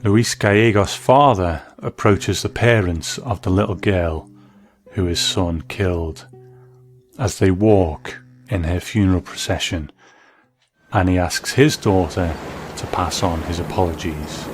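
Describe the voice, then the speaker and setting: Low-voiced man giving reflective commentary. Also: unhurried. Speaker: host. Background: faint music.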